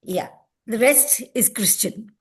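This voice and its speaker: A woman's voice: on the high side, lively intonation, slow pace, slightly rough. A woman with an Indian accent chatting in an informal book club discussion.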